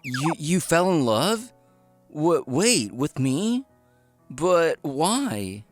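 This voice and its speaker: Man acting earnest restaurateur, animated storytelling over music. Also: a little high, drawn-out words, no room sound, exaggerated voice. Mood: eager, flustered. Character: earnest, work-obsessed young male restaurant owner, easily flustered. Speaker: narrator and lead character. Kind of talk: scripted manga story narration and dialogue. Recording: music behind the voice.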